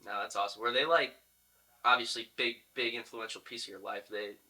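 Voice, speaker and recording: A man's voice: fairly low pitch, steady tone, a little fast, pushed and bright, rough recording. An American man hosting a casual sports interview podcast, chatty and informal, often affirming what he hears.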